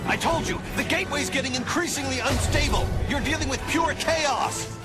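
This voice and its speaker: A man's voice: extremely high pitch, normal speed. An actor playing a serious, impatient superhero from the future in a video game, urging his partner on. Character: urgent, no-nonsense male superhero partner from the future. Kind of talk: video game hero acting. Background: loud game music.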